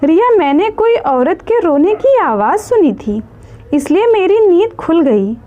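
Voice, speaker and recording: A woman's voice: very high-pitched, very animated, measured pace, phone-quality sound, heavily acted. A woman voicing an affectionate husband roused from sleep in a story, familiar and plain-spoken. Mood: puzzled.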